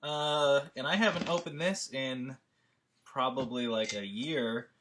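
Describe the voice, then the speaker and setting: A man hosting a livestream with a casual, informal and halting introduction. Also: mid-range voice, a bit bright, a little hiss or hum. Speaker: host.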